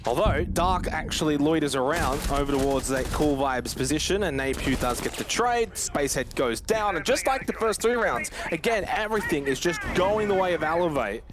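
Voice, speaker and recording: A man's voice: on the high side, expressive, moderate pace, few pauses, noisy background. A man commentating live on a shooter match, conversational and repeating his points for emphasis. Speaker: esports commentator.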